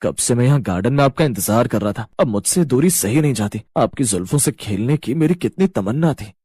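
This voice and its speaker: A man plays a smitten young husband in a cartoon family drama. He is gushing and amorous, with a husky voice in a very dry recording. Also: medium pitch, animated, brisk, warm tone, no room sound. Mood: amorous pleading.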